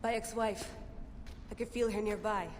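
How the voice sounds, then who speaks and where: A woman playing a stubborn, excitable young researcher in a video game, headstrong and absorbed. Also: mid-range voice, a little slow, natural voice. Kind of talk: naturalistic video game acting. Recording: reverberant cave, faint noise.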